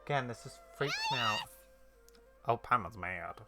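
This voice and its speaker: A woman's voice: extremely low pitch, lively intonation, normal speed, over loud music. A woman giving casual, rambling gameplay reactions in an informal streamer style. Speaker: game streamer.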